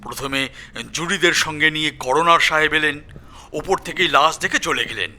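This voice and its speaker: An actor playing an excitable police constable dramatically recounting a grisly discovery in an audio story. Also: male voice, a little high, normal speed. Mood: earnest recounting.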